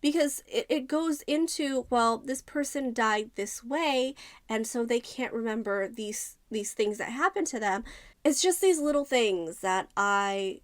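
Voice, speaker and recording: A woman's voice: a little high, unhurried, dry recording. A woman giving a casual, conversational book review, thinking aloud and stressing her points as she talks to viewers.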